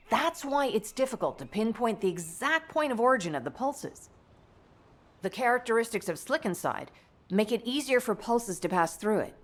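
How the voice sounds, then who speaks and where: A composed, scholarly female doctor in a video game. She speaks at an average pitch in a measured, matter-of-fact way, laying out information with articulate care, like someone used to briefing a team. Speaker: medical doctor character. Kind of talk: scripted game dialogue, explanatory briefing.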